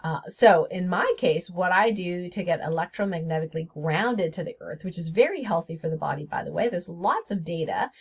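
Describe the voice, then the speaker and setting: A woman's voice: lowish voice, fairly quick, hardly any pauses, slightly rough. A woman hosting her own spiritual health video series, talking casually and chatty with frequent laughs and fillers. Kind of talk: casual solo video talk. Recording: dull lo-fi audio.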